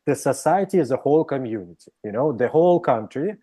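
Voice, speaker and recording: A man with a Russian accent talks through his ideas as an interview guest, his voice dark and mellow at a middle pitch. He hesitates often and tags his points with "right?" as he goes. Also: lively intonation, fast talker, husky. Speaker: psychologist guest. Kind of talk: interview conversation.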